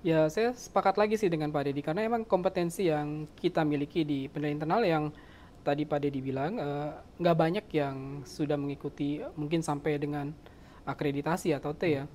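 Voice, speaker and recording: A man speaking as a panel guest, calm and measured as he agrees with another speaker. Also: fairly high pitch, slight background noise. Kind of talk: casual panel talk.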